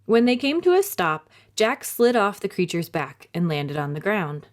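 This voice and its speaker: Female storytime reader, highly varied intonation, dramatic and clearly phrased delivery for young listeners. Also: sing-song, moderate pace. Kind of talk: expressive scripted storybook narration for children.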